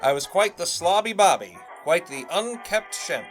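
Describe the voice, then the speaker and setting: A man's voice: high voice, sing-song, moderate pace, soft background music. A man playing a pompous young radio salesman. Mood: boastful. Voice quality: pompous, showy old-fashioned patter. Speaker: radio announcer character. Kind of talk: 1920s-style audio drama.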